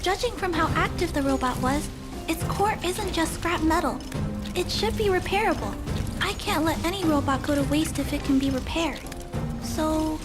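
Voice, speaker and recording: Woman doing a tiny high little girl game character, sweet polite and earnest, soft spoken, music in the back. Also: moderate pace, natural voice. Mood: earnest, hopeful. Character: gentle, earnest little girl who repairs robots. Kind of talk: scripted video game acting.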